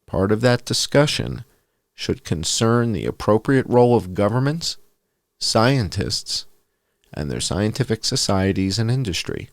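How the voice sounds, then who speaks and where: Low-voiced man reading aloud, very dry sound. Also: no echo. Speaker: host reading an essay aloud. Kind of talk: scripted narration, read aloud as bedtime story.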